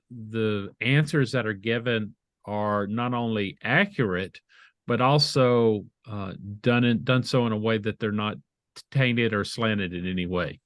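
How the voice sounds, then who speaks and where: A middle-aged man with a Southern American accent, answering interview questions over a video call in a measured way, often restarting his phrases. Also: on the low side, a bit bright, no room sound. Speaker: guest, IT leader.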